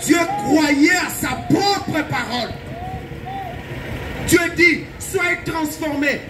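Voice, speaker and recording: Very high-pitched, fervent male street preacher. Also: slow pace, a crowd in the background, noisy background. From Belgium. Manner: urgent, exhorting, emphatic, repeats phrases. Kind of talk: fervent open-air evangelistic sermon.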